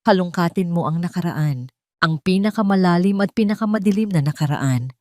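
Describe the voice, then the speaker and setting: A woman's voice: on the low side, lively intonation, a little fast, darkish tone, no echo, close to an everyday voice. Woman voicing an intense, accusing Filipino rich son. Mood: cold resolve. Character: wealthy, wounded, determined adult son confronting his mother. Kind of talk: audio drama storytelling.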